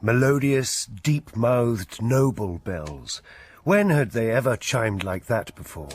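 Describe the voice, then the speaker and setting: An actor narrating a literary radio drama as a measured, grave, husky storyteller. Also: male voice, mid-range voice, lively intonation, a little hiss or hum. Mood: grave, lyrical.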